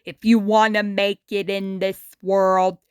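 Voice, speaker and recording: A woman's voice: medium pitch, little pitch movement, takes it slowly, smooth, no echo, studio quality, pitched below the performer's own voice, close to an everyday voice. A woman voicing a cynical, jaded male mudskipper, an old showbiz hand with a wisecracking, world-weary drawl, in a storybook reading. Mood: gruff advice.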